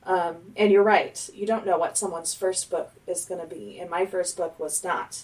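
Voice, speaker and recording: A woman's voice: on the low side, steady tone, normal speed, low-quality recording. A woman hosting a podcast, chatting casually with her guest and affirming her often. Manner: warm, affirming listener with frequent mm-hmms. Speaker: podcast host. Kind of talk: casual interview chat.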